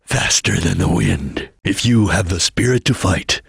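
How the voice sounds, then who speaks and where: Husky, stern ninja chameleon. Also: male voice, sits low, measured pace, fairly bright tone, dry recording, clean studio sound, exaggerated voice. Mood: grave, resolute. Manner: solemn, formal, clipped, declarative delivery. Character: stern, disciplined ninja chameleon, solemn male video game fighter. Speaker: video game character giving battle lines and tutorials. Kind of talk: dramatic video game voice acting.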